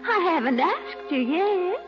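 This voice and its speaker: An actress playing a coy, gushing, flirtatious sweetheart in melodramatic 1940s radio comedy style. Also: female voice, very high voice, wildly swooping pitch, unhurried, exaggerated voice. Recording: dull old radio recording, music behind.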